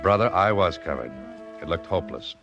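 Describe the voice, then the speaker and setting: A man's voice: low-pitched, expressive, brisk, highs cut off, over music. An actor playing a wisecracking, hard-boiled detective who narrates his own case in an old radio drama. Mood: narrating. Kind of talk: 1940s radio acting.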